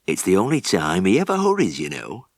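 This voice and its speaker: Blunt, irascible old Yorkshire farmer. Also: male voice, low voice, wildly swooping pitch, a little fast, vocal fry, dry recording, studio quality, exaggerated voice. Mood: bitter, muttering. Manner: blunt, grumbling, bellowing when riled.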